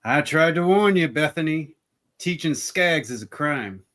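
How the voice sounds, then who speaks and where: A man's voice: a little high, animated, unhurried, fairly bright tone. A man playing a bigoted, threatening Old West lawman's henchman with a smug manner, in an amateur radio play. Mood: menacing, smug.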